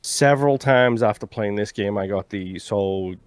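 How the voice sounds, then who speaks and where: A man co-hosting a video game podcast, talking in a mostly monotone voice while venting his frustrations in casual chat. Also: lowish voice, moderate pace, dry recording. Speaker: podcast co-host.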